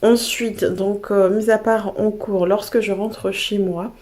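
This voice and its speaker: A young French woman with a slight cold, giving study advice in a relaxed, chatty monologue with frequent fillers. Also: mid-range voice, slow pace. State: has a cold. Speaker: vlogger sharing study tips.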